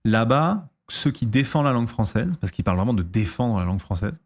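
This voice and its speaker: A man in his thirties from France, chatting casually on a stream, answering questions and pausing often with fillers and restarts. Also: mid-range voice, lively intonation. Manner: hesitant, lots of fillers and restarts.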